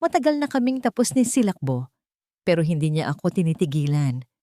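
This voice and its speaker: A scheming Filipino husband voiced by a woman narrator in an audiobook drama, pleading and deferential. The pitch swings expressively and the sound is dry. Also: very animated, a little fast, warm tone, no echo. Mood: pleading remorse. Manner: pleading, deferential to his elder.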